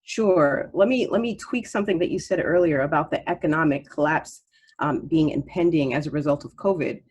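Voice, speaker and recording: A woman's voice: sits low, fairly quick, a little mellow, husky. A woman from Haiti raised in Miami, a state representative speaking in a candidate forum, confident and assertive. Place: video call.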